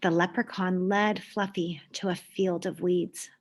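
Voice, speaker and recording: A woman reading aloud to children in an expressive storytelling style, with deliberate pauses and emphasis. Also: on the low side, a little hiss or hum.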